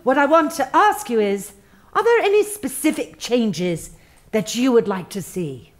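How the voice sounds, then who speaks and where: An actress playing an earnest, self-important HR manager in a satirical radio play, over-solicitous and gravely sanctimonious. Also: female voice, a little high, sing-song, measured pace. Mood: solemn, earnest.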